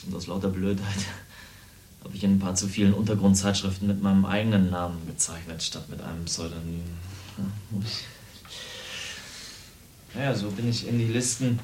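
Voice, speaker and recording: Male actor for eccentric cyberneticist patient, radio drama. Lowish pitch, talkative, hesitant, confiding; slight background noise. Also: a little mellow, close to an everyday voice. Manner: rambling, confiding, hesitant repetitions. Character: eccentric, lonely computer cyberneticist and psychiatric patient, talkative man. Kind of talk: naturalistic radio drama dialogue.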